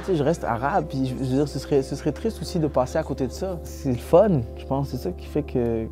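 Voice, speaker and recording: Man from Algeria, joking, animated, music behind, mid-pitched voice. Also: fairly quick. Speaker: interviewee. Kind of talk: casual testimony.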